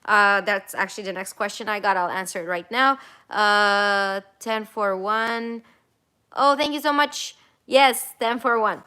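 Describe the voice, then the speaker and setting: Bright, chatty woman reading live chat. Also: medium pitch, measured pace, smooth. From Armenia. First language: Armenian. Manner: chatty, reads comments aloud, many fillers and restarts. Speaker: travel vlogger answering live chat. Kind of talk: casual live stream Q&A. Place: home in Toronto.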